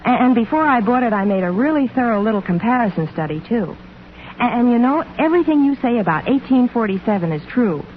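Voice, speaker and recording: A woman's voice: on the high side, expressive, quick pace, close to an everyday voice. A woman playing a delighted housewife with an American accent in a 1940s radio commercial. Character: delighted housewife customer praising her silverware. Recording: tinny, band-limited old radio, faint noise.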